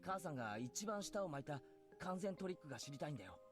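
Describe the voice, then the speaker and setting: An earnest teenage boy in a visual game, speaking very rapidly in a youthful, higher voice. He is eager and casual, with music playing behind him. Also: big pitch swings. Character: teenage boy, earnest son questioning his mother. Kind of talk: Japanese visual novel voice acting.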